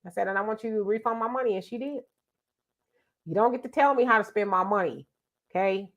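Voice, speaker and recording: A woman's voice: mid-range voice, brisk, a bit bright. A middle-aged woman, sounding congested, hosting a casual live show and reading out viewer comments in an emphatic, chatty way that repeats itself. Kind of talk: casual live-stream talk.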